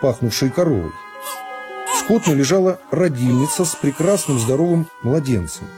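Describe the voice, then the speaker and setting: A man narrating a literary story for a radio drama in a measured, phrase-by-phrase storytelling manner. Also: a little high, animated. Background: music.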